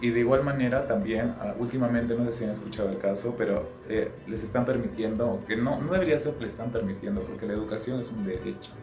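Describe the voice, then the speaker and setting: A man's voice: mid-range voice, fast talker, hardly any pauses. A young man, a psychology student steering a group discussion, thoughtful and often correcting himself. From Peru. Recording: echoey room with music behind.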